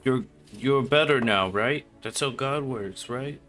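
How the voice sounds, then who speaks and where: Bright-voiced man casually riffing over a game. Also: medium pitch, a little slow. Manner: slangy, irreverent. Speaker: video game streamer. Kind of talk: casual live game commentary, crude joking. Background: game music and sounds.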